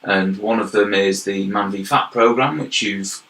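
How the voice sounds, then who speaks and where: A man's voice: on the low side, unhurried, sharp tone. A young man with a Yorkshire accent, from York, talking earnestly as an interview guest and explaining his work at length, often repeating words as he goes. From York, England. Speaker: guest, health and wellbeing manager. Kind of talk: casual interview chat. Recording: roomy echoey recording.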